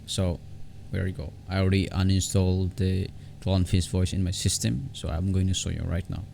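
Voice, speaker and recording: Low, gravelly man giving a halting tutorial. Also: little pitch movement, mellow. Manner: halting, repeats words and phrases. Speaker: tech tutorial vlogger. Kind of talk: informal step-by-step software tutorial. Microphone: separate desktop condenser microphone. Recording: low background noise on home recording.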